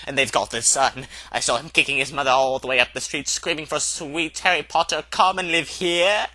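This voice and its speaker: Man putting on an indignant, high-pitched older female professor. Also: steady tone, fairly quick, bright, forceful tone, no room sound. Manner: emphatic, exclamatory, clipped scolding.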